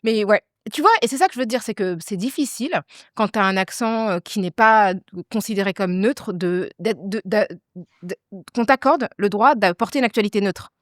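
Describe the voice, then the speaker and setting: A woman's voice: mid-range voice, moderate pace, no echo, studio quality. A woman from Paris with a Parisian accent, hosting an interview and asking engaged, searching questions. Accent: Parisian French. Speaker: interviewer, host. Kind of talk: casual interview chat.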